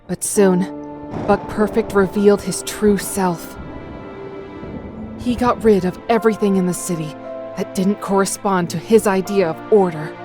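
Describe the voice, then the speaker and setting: Woman as a serious cartoon rebel, mid-pitched. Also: lightly acted. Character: steady, serious underground rebel in a duck city. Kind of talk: amateur comic dub acting. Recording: music behind the voice.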